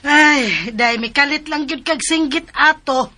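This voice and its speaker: Fretful filipino auntie, cebuano accent, high bright voice, radio drama. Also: female voice, measured pace. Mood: placating. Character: fretful aunt helping search, homely older relative. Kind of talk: Philippine radio drama acting.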